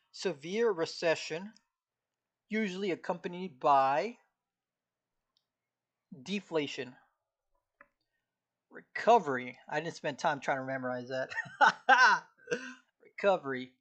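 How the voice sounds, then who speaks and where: A man with a slightly high voice talks to himself at an easy pace as he studies live on stream. He keeps clearing his throat because it feels irritated, and his delivery stays laid-back as he recites notes from memory. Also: animated, slow pace, pauses often, pushed and bright. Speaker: streamer studying a textbook. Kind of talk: casual live-stream self-talk while studying.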